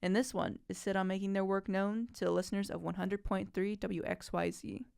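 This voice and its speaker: A darkly playful story host played by a woman. Her mid-pitched voice is clear with some fry, dry in sound, delivering mock-ominous scripted banter. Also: level tone, brisk, darkish tone, dry recording, close to an everyday voice. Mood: teasing, ominous. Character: darkly playful female host introducing a thriller.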